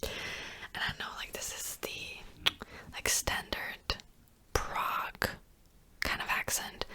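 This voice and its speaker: A woman whispering softly and close to the mic as she gives a gentle language lesson, slowly repeating words. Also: rough recording. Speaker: language teacher.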